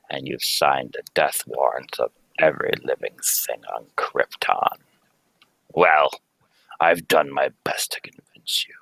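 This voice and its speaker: A man's voice: very deep voice, gravelly, sharp tone, slightly rough, dry recording, full character voice, low-quality recording. A young man playing a grave, urgent alien scientist and family man in a live radio play reading, speaking in solemn, theatrical pronouncements. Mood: grave, bitter. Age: young adult. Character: grave, urgent Kryptonian scientist, husband and father. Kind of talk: live amateur reading of old radio script.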